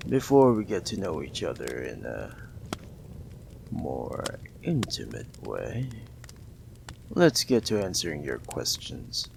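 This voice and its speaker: A man voicing a smug, possessive mafia boss in an audio roleplay, teasing and condescending, with a gravelly drawl and long pauses. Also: darkish tone, a little hiss or hum, exaggerated voice. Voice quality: low, gravelly, drawling delivery with drawn-out pauses. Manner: teasing, condescending, calls listener darling.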